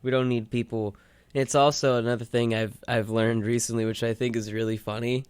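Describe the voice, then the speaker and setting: A man's voice: mid-range voice, level tone, brisk, darkish tone, clear, resonant tone, dry recording. A man co-hosting a radio show, chatting casually in a rambling storytelling way. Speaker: co-host of a retrospective radio show. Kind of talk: casual chat.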